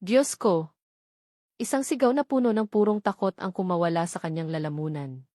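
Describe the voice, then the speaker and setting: A woman's voice: on the low side, expressive, quick pace, mellow, no echo, clean studio sound. A woman with a Filipino accent reading a scripted romance story as a dramatic audiobook narrator.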